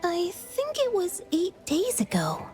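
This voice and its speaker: A woman's voice: extremely high pitch, wildly swooping pitch, slow pace, darkish tone, soft background music, exaggerated voice. A woman voicing a sweet, helpful flower shop clerk in a video game, chirpy and obliging.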